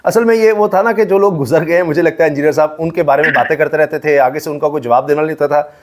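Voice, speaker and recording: A man's voice: a little high, fast talker. A Pakistani man hosting a conversational interview, agreeable and often giving short acknowledgements. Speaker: interview host, media journalist.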